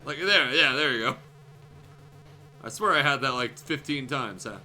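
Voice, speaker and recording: A man's voice: mid-range voice, animated, moderate pace, bright, forceful tone. A man streaming games as show host, chatting casually with wry, sweary remarks. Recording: music playing faintly behind the voice.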